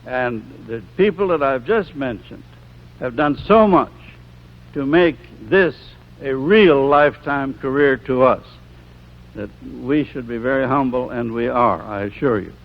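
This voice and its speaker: A man's voice: mid-range voice, unhurried, dull audio. A man with an American accent giving a thank-you speech at a ceremony, on a 1970s live recording.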